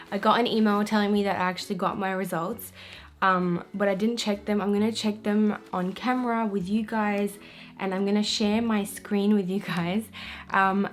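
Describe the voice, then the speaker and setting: A young woman from Pakistan vlogging casually to camera, chatty and full of fillers like "um" and "you know". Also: mid-range voice. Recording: echoey room with faint music.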